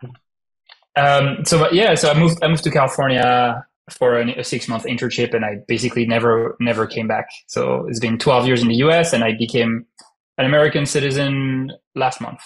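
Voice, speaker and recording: A man's voice: mid-range voice, steady tone, normal speed. A man in his thirties from France with a heavy French accent, a startup founder speaking in an interview, hesitant with many fillers. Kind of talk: casual interview talk.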